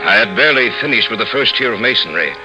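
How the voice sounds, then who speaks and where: An actor playing a cold, vengeful murderer, theatrical and deliberate, recounting his crime in a 1950s radio horror play. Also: mid-range voice, expressive, muffled recording, lightly acted. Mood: grim recounting. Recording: old radio broadcast recording, loud music.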